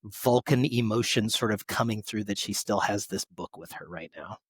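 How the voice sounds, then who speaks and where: A man's voice: on the low side, normal speed, husky, no room sound. A man co-hosting a podcast, chatting casually and explaining details. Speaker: podcast co-host.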